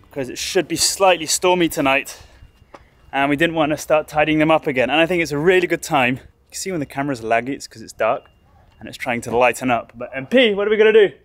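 A man's voice: fairly high pitch, fast. A man in his thirties casually narrating a boat restoration vlog, filming himself with a handheld camera outdoors in a boatyard. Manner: chatty, excitable, thinks aloud. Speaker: vlogger restoring a sailboat. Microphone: action camera held at arm's length.

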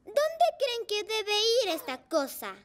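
A goofy, excitable little cartoon boy bike. The voice is extremely high and swings about, often drawing words out, with music clearly behind. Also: slow, full character voice. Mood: teasing. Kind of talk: animated children's dub.

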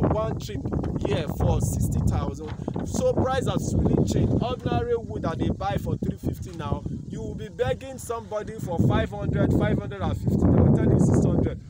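Young Nigerian man, Nigerian accent, animated outdoor farm-vlog host, high voice, recorded close on a phone with heavy wind noise. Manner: animated, gesturing with both hands. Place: outdoor building site, wind.

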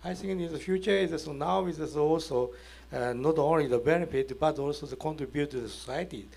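Halting older Japanese-accented man, creaky voice. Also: a little high, darkish tone. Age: 60s. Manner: halting, broken English. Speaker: panelist, company executive. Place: indoor conference event on a stage. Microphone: handheld microphone.

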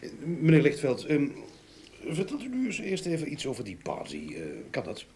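Patient investigator, wide-swinging intonation. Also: male voice, fairly high pitch, wildly swooping pitch, moderate pace, darkish tone, slight background noise. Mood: probing, polite. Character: patient, probing male investigator, hesitant and polite. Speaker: investigator questioning a witness. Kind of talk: old radio drama acting.

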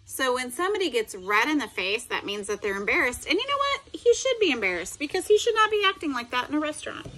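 A woman's voice: high voice, sing-song, fast talker, few pauses, pushed and bright, poor audio. A middle-aged woman reading a picture book aloud to children, expressively, with simple explanatory asides. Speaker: special education teacher reading aloud.

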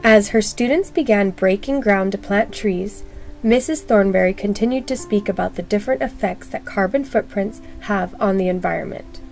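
A woman narrates a children's storybook at an average pitch. Her read-aloud delivery is expressive and clearly phrased for young listeners, with faint music behind her. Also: normal speed.

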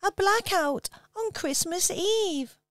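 A woman's voice: extremely high pitch, lively intonation, slow pace, a bit bright, exaggerated voice. A woman voicing a polite polar bear. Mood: meek. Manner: meek, polite.

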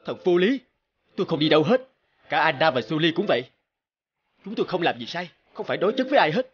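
A man's voice: a little high, very animated, quick pace, highs cut off, slight background noise. A man voicing a protective, distressed adoptive father in a cartoon, protesting with emotion. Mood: defensive, indignant. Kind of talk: animated cartoon dubbing.

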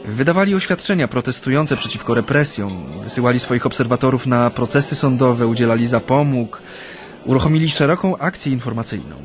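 An actor playing an earnest Japanese man recalling his activist past in a radio play, with a reflective, halting delivery. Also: medium pitch, over music, lightly acted. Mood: earnest recounting. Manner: hesitant, reflective, with frequent hums and pauses. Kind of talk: radio drama, conversational recollection.

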